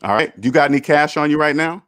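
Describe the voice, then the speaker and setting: A man's voice: fairly high pitch, fast, a bit bright, dry recording. 50s guy hosting a call-in show, hammers his point over and over. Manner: emphatic, repeats points. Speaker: host. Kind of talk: call-in money talk.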